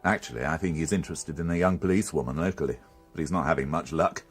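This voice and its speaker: A man's voice: extremely low pitch, fast talker, slightly rough, faint music behind. A man acting in a radio drama as a dry, grumbling police inspector, wry and easily indignant. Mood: wry, offhand. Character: dry, grumbling male police inspector, mildly old-fashioned. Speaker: actor.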